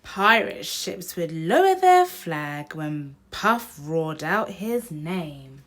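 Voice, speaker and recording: A woman's voice: on the low side, sing-song, very slow. A woman hosting a children's picture book read-aloud, speaking directly to young listeners.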